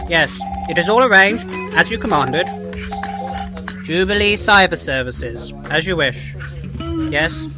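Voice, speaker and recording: A servile agent murmurs slow, obedient replies down a phone line , played by a man. His voice sits a little high, and guitar music plays clearly behind him. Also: very animated. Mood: deferential. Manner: deferential, hushed, slow replies.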